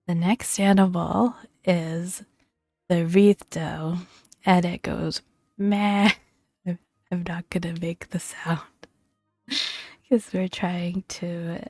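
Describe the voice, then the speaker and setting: A woman doing soft-spoken ASMR game commentary in a breathy, gentle near-whisper, murmuring along without hurry. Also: on the low side, no echo, clean studio sound. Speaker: video game content creator.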